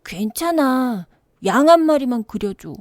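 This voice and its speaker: A woman voicing a small, mysterious boy in a storybook, gentle and insistent, with childlike, drawn-out phrasing. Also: high-pitched, lively intonation, dry recording, clean studio sound, voice pushed up. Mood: gentle insistence.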